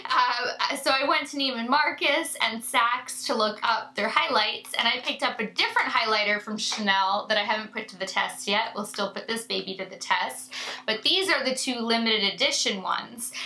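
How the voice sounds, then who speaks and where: A woman in her thirties talks straight to camera from a room lined with makeup shelves. She sounds stuffed-up and nasal from a sinus infection, chatty and effusive, with a high, bright voice. The room adds a noticeable echo. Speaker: beauty vlogger. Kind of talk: casual to-camera review.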